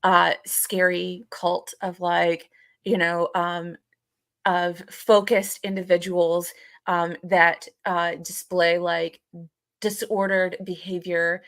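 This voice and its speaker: A woman's voice: lowish voice, little pitch movement, takes it slowly, fairly bright tone. A woman co-hosting a live podcast, chatting informally and hesitantly as she searches for her words. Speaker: podcast co-host. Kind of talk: casual live podcast chat.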